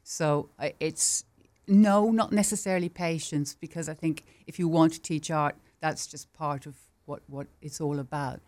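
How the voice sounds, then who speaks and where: A woman's voice: low-pitched, fairly even intonation, moderate pace. A woman in her fifties with an Irish accent, talking casually about teaching art and laughing often. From Ireland. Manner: chatty, laughs often, warm and enthusiastic. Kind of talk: casual interview chat.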